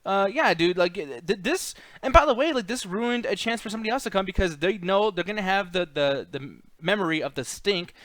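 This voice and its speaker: Foul-mouthed, higher-pitched man ranting casually. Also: expressive, a little fast, few pauses, fairly bright tone, no echo. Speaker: host of a movie discussion show. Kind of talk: casual opinionated chat.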